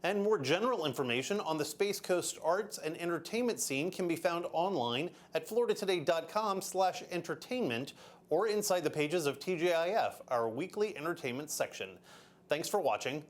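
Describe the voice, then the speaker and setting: A man hosting a local TV arts show on a theater stage, playful and quick to interrupt with a laugh. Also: on the high side, animated. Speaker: TV show host.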